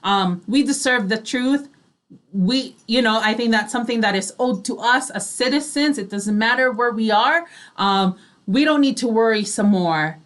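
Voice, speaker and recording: High-voiced woman hosting radio chat. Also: normal speed. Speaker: online radio talk show co-host. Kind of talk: casual radio chat and show announcements.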